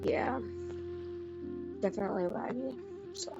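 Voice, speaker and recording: A woman livestreaming her gameplay, chatting and rambling with plenty of laughs. Her voice is strained from losing it, yet her pitch swoops up and down expressively. Loud game music plays behind her. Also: big pitch swings, a little slow, vocal fry, dull audio. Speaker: streamer. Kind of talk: casual live gameplay chat.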